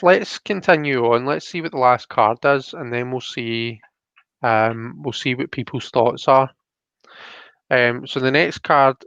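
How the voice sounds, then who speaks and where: Scottish male tarot host, crackly fry in the voice, conversational and reflective, dry muffled stream audio. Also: medium pitch, a bit bright, dry recording. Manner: thoughtful, often checks with "you know" and "do you know what I mean". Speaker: host and tarot card reader. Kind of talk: casual, conversational tarot reading.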